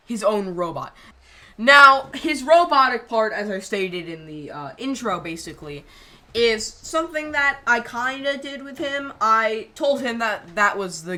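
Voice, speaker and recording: A woman creator talking casually over a video, rambling and halting with lots of fillers. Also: mid-range voice, pushed and bright. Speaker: channel creator.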